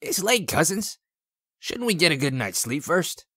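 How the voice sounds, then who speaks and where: A man doing a jittery, high-voiced animal underling. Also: big pitch swings, measured pace, sharp tone, husky, dry recording, studio quality, heavily acted. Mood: nervous. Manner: hesitant, placating. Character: nervous, evasive male talking animal underling. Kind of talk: comic dub voice acting.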